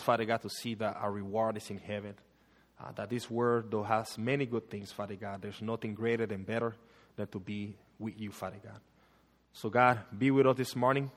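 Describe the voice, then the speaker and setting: A man's voice: lowish voice, fairly even intonation, normal speed, big-room sound. A man in his thirties from Panama with a Panamanian Spanish accent, preaching and praying in church with frequent fillers. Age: 30s. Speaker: guest preacher. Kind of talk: sermon and prayer. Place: church sanctuary.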